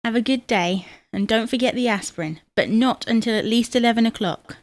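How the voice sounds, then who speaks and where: A woman's voice: a little high, brisk, dry recording, close to an everyday voice. A woman playing a fussing, no-nonsense middle-aged wife in a domestic audio comedy, chiding and motherly in manner. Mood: brisk, caring.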